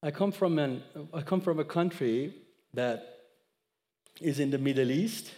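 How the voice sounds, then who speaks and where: A man in his thirties from Iran, speaking English with an Iranian accent, giving an expressive sermon that mixes scripture with his own testimony. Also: on the high side, measured pace, long reverb tail. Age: 30s. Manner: expressive storyteller, acts out dialogue, audience laughter. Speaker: guest speaker.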